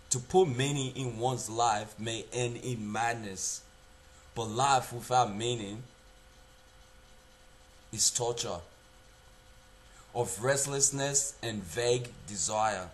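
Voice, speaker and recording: A man's voice: mid-range voice, lightly acted. A young man playing a regretful man who reflects earnestly on his unlived life in an emphatic theatrical monologue. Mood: yearning, impassioned. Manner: declamatory, emphatic, with dramatic pauses.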